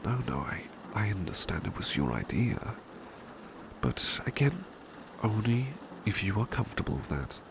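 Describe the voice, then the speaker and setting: A man playing a devoted, protective butler in an intimate ASMR roleplay, speaking in a hushed, gentle, courteous and hesitant British voice. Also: fairly low pitch, moderate pace, creaky. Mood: deferential, hesitant. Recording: steady background noise, rain ambience. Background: rain ambience.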